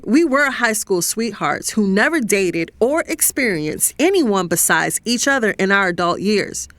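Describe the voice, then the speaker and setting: An actress playing a conflicted, lovestruck professional woman, telling her story in an expressive, confiding, exasperated way for an audio drama. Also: mid-range voice, no room sound. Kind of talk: dramatized first-person audio fiction narration.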